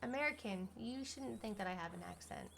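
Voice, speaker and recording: A woman's voice: fairly high pitch, brisk, creaky, slight background noise. A young woman reading chat on a live stream, talking playfully and casually. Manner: playful, lively.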